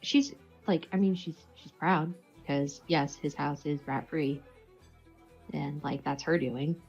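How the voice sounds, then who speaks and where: A woman with a low, husky voice talks casually as a game player. She feels her way through thoughts haltingly, and the recording is dull. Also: fairly even intonation, darkish tone, faint music behind. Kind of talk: casual, hesitant character explanation.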